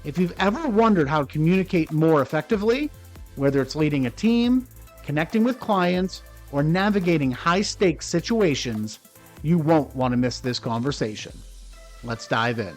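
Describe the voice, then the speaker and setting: A man's voice: on the high side, expressive, normal speed, faint music behind. A man hosting a communication-skills podcast, introducing his guest and asking questions in an upbeat, polished way. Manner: upbeat, polished presenter delivery. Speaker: podcast host. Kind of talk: podcast interview.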